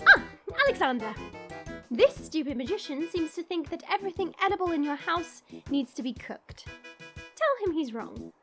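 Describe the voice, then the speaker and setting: A woman playing a haughty, quick-tempered young dragon princess turned human, imperious and formal, in an audio drama. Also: extremely high pitch, sing-song, fairly bright tone, faint music behind, heavily acted. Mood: scornful. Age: 20s.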